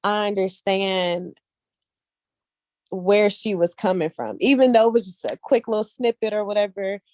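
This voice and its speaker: A woman speaking casually as an interview guest, telling personal stories in an animated, earnest and colloquial way, often checking in with her listeners. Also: medium pitch, moderate pace. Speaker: guest, actress and casting director.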